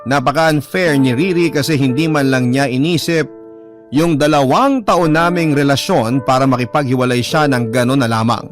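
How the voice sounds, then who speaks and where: A man's voice: mid-range voice, normal speed. A man with a Filipino accent reading a first-person love story as sorrowful, earnest dramatic narration. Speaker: radio drama letter reader. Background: background music.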